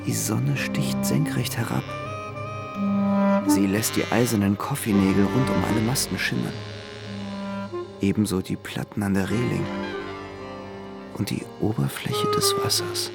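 Dreamy young man in a radio play, observing stuff, super creaky voice, loud music behind. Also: lowish voice, wildly swooping pitch, measured pace, pauses often, heavily acted. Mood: languid, bored. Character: dreamy young man recalling his journey, quietly infatuated. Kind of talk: literary radio play, present-tense first-person narration.

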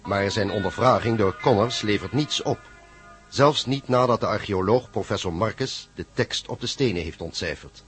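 A man narrates a radio drama recap in a low voice. His scripted delivery is serious and measured, with soft music underneath.

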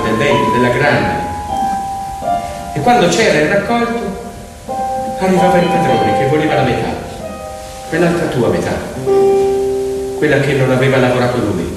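A man's voice: mid-range voice, expressive, normal speed, pauses often, distant mic. A man telling a story theatrically as a stage actor, in an emphatic, declamatory manner with rhythmic repetition. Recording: echoey hall from afar, loud music. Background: loud piano music.

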